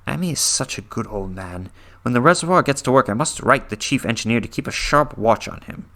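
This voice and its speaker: A man's voice: medium pitch, expressive, fairly quick, sharp tone. A man playing a haunted, troubled chronicler in a horror story reading, stammering uneasily and trailing into whispers. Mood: anxious dread.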